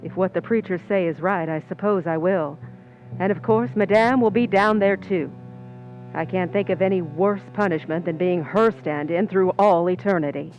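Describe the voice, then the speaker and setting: A woman's voice: lowish voice, moderate pace, phone-quality sound, close to an everyday voice. Confiding film stand-in played by a woman, faint music. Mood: bitter resignation. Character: ambitious young woman film stand-in, guilty, coolly confiding. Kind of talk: old-time radio suspense narration and acting.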